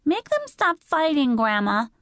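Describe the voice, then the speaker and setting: A woman voicing a small, high, plaintive little girl. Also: big pitch swings, a little slow, fairly bright tone, no echo, studio quality, heavily acted. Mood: upset, pleading. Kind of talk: audiobook character voice.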